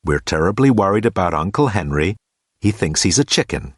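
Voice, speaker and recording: A man's voice: low-pitched, lively intonation, a little fast, no room sound, clean studio sound. A man reading aloud and voicing a matter-of-fact young woman telling an old joke. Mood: deadpan.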